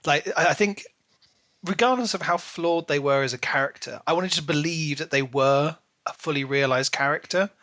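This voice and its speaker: A man's voice: fairly high pitch, moderate pace, pushed and bright, no echo, clean studio sound. A man co-hosting a casual book discussion podcast, talking in a rambling way and often rephrasing himself. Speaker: podcast co-host.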